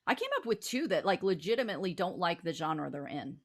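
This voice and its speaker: A woman's voice: on the low side, sing-song, a little fast. A woman co-hosting a casual music talk show, chatting in a jokey, self-deprecating way. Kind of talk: casual music and fan chat.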